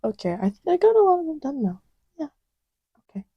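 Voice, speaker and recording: A woman playing a playful, distracted young girlfriend in an intimate ASMR roleplay, murmuring in a halting, teasing way. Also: high-pitched, sing-song, no echo, muffled recording. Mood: soft, fond. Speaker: audio roleplay voice actor.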